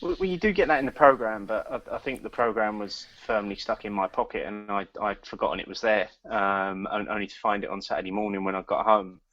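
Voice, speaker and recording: A man co-hosting a casual podcast chat, talking in halting bursts with many restarts and repeated words. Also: lowish voice, fairly even intonation, fairly bright tone, no echo, dull audio. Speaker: podcast co-host.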